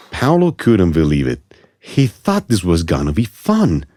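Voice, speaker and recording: Male storybook narrator, low and mellow, with animated intonation for young listeners. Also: takes it slowly, no room sound, studio quality. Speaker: audiobook narrator. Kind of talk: scripted children's story narration.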